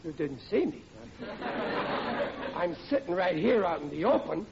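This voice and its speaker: Through heavy noise and a muffled old radio sound comes a blustering, easily offended family man. His voice sits high for a man, with rough New working-class grammar. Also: very animated, unhurried, a crowd in the background, full character voice. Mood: wounded, touchy. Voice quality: ungrammatical working-class speech. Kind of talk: 1940s radio comedy acting.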